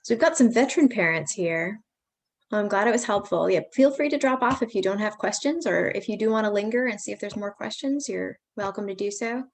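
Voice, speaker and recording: A woman hosts a parent session on a video call. She sounds chatty and reassuring, with a voice at middle pitch. She keeps her talk friendly and easygoing. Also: a little fast. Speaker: pre-college program staff host. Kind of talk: casual virtual information session.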